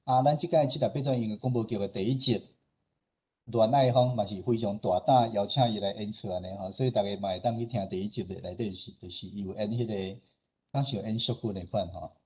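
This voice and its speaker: A man with a Taiwanese accent and husky voice, giving an informal presentation as a radio host. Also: lowish voice, fairly quick, warm tone. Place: online meeting presentation.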